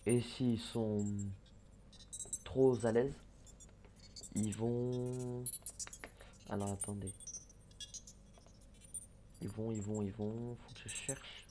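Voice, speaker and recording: A man's voice: lowish voice, steady tone, extremely slow, long pauses, mellow, soft background music. A young man giving casual let's-play commentary, hesitant and full of fillers, muttering to himself as he plays. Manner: hesitant, many fillers and restarts, mutters while playing. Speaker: gaming YouTuber. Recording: faint background noise.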